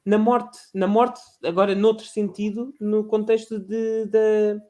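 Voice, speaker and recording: A man with a European Portuguese accent, chatting as a podcast guest, passionate and halting with many restarts. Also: sits high, flat delivery, warm tone. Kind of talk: casual chat.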